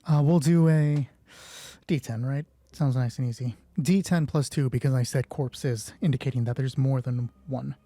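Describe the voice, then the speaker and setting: Husky guy game mastering solo, soft mellow tone, thinks out loud, corrects himself. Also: mid-range voice, moderate pace, no room sound. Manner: self-correcting, thinking aloud. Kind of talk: improvised narration of a solo tabletop game.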